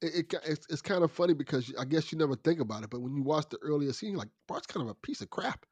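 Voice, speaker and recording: A man's voice: fairly high pitch, animated, very fast, darkish tone, slightly rough, no echo. A man in middle age talking as a guest in a casual podcast discussion. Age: 40s or older.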